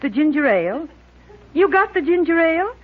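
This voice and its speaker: A woman's voice: very high voice, sing-song, normal speed. A woman playing a fussy, insistent middle-aged mother and wife in 1940s radio comedy. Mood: coaxing. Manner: prim, insistent, long-suffering. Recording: dull lo-fi 1940s radio broadcast.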